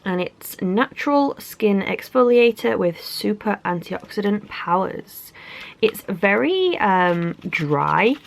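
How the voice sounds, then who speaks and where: A woman's voice: mid-range voice, very animated, slow, vocal fry. A young woman from England with a stuffy, congested cold, chatting casually through an unboxing with lots of likes and ums. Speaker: beauty vlogger.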